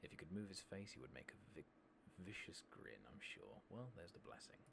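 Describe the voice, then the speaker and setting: Very deep, gravelly soft-spoken gaming man. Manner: relaxed, unhurried, murmuring, casually sweary. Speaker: host of a gaming ASMR channel. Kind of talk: soft-spoken ASMR game commentary with some whispering. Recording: loud steady background noise and ambience.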